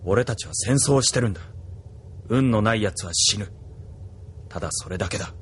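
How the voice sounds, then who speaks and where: An actor voicing a cold, ambitious young officer who speaks in a cool, detached way, in a studio radio drama. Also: male voice, lowish voice, normal speed, a little hiss or hum, close to an everyday voice. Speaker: military officer and pilot.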